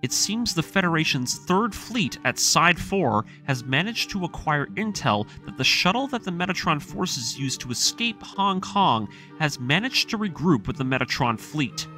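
A man's voice: fairly high pitch, very animated, normal speed, hardly any pauses, sharp tone. A man reading a scripted story recap as the narrator of a series. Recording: voice-over with music underneath. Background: music.

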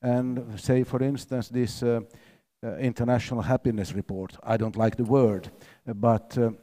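A man's voice: medium pitch, fairly even intonation, moderate pace, warm tone. An older man from the Nordic countries speaking on a panel, hesitant with many fillers. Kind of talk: panel discussion. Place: stage in a large event hall.

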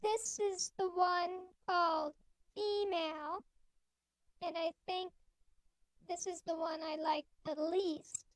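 A squeaky, childlike female voice, made by a demonstrator with a voice changer. It is extremely high and slow, with little room sound, in a casual product demonstration. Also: fairly even intonation, no echo. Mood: flat demonstrating. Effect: pitch-raising voice changer.

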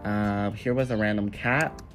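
A man's voice: fairly low pitch, fairly even intonation, takes it slowly, fairly bright tone, soft background music. A young man in his twenties, congested and nasal from a cold, giving a chatty, upbeat tour of garden plants. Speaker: plant vlogger. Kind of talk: casual plant tour voice-over. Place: indoor room with plants.

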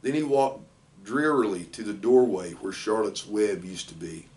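An older man, around sixty, reading a children's storybook aloud in plain, measured short phrases. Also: on the low side, a little mellow. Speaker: football coach reading a children's book aloud. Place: small indoor office. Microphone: camera a few feet away. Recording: distant microphone in a room.

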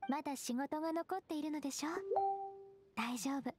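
Woman acting a very high-voiced, gentle composed secretary. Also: natural voice. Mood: polite, composed. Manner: polite, soft-spoken, measured. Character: gentle, composed half-beast young woman secretary, quietly confident. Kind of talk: Japanese anime game voice acting. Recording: background game music.

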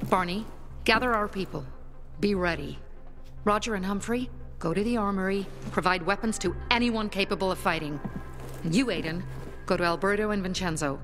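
Game rebel leader played by a woman: lowish female voice, firm orders, determined, music underneath. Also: fairly bright tone, lightly acted. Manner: firm, commanding. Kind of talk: video game drama acting.

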